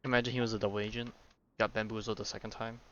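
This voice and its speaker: A man's voice: fairly low pitch, flat delivery, very fast, fairly bright tone. Guy streaming, cracking jokes over the game, kinda muffled lo-fi mic with a little hiss. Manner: joking, offhand reactions. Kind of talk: casual live commentary. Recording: dull lo-fi mic with faint noise.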